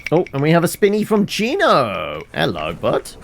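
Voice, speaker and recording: A man's voice: a little high, huge pitch swings, moderate pace, creaky, slight background noise. A man giving casual, chatty let's play commentary, rambling as he thinks aloud, with music behind him. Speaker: game streamer, host.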